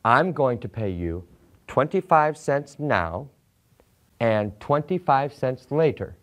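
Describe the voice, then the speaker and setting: American man, forties, language teacher, enunciated model sentences, strongly varied pitch. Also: very animated, fairly bright tone. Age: 40s. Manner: deliberate, enunciated. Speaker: English teacher. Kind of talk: slow scripted language lesson with simple modelled sentences. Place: small classroom set, indoors.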